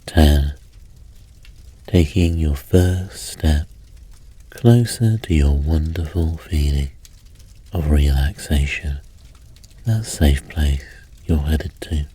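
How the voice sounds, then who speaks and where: Very deep, slow, husky male hypnotist. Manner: calm, gentle, measured with long pauses. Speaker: hypnosis and meditation host. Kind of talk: guided sleep hypnosis, slow soothing narration.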